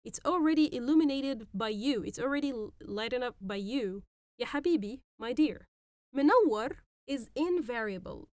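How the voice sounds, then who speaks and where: A woman hosting a podcast and teaching phrases in a patient, explanatory way, repeating words slowly. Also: high voice, darkish tone, no room sound, studio quality. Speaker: podcast host and Arabic teacher. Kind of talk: language lesson explaining phrases.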